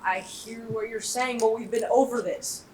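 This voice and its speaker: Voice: medium pitch, steady tone, normal speed, a little mellow, slight background noise, close to an everyday voice. A teenage student playing a firm, dismissive heist planner in an amateur stage comedy. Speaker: student actor. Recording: echoey stage recording from far off.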